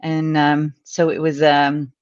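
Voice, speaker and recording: A Dutch-accented woman speaks as a guest on a video call. She talks in a reflective way, often pausing on "um" and repeating words as she thinks. The audio sounds a little dull and muffled. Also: sits low, monotone, a little mellow, clear, resonant tone. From Netherlands. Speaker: guest, business and life coach. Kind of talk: casual interview chat.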